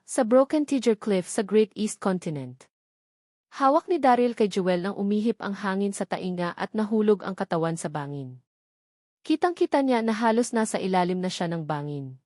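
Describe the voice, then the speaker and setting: A woman's voice: mid-range voice, a little mellow, no echo, clean studio sound. A woman reading a scripted novel aloud as an audiobook narrator.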